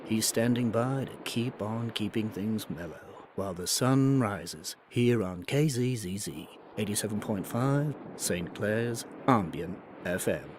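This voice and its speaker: A man acting as a mellow late-night DJ on a fictional ambient radio station. He speaks low in an easygoing, soothing, folksy way, with faint ambient noise behind him. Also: a little slow, hardly any pauses, natural voice. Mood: mellow, breezy. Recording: clear ambient noise under voice.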